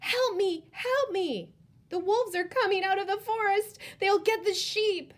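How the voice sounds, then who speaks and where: A woman voicing a frightened young boy shepherd who shouts high and urgent for help. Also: measured pace. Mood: panicked.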